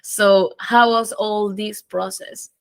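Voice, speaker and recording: Woman Argentine-accented podcast host, chatty, mid pitch, dry recording. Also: steady tone, slow pace, creaky, smooth, no echo. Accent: Argentine Spanish. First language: Spanish. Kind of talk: casual interview chat.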